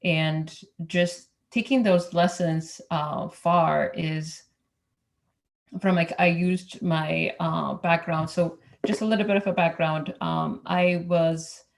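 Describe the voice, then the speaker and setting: A woman's voice: fairly low pitch, steady tone, slow pace, vocal fry. A woman from Ethiopia who works as an engineer, speaking as a roundtable panelist in a hesitant way, with many fillers and restarts. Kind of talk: casual roundtable talk.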